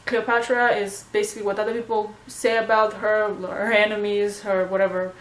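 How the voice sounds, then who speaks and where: A young woman with a Romanian accent, a native Romanian speaker, chatting casually in a home vlog and often pausing to search for words. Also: medium pitch, flat delivery, low-quality recording. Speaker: vlogger.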